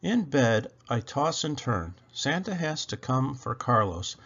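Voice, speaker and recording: A man reading a children's story aloud with expressive narration, as a storybook reader. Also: medium pitch, unhurried, muffled recording.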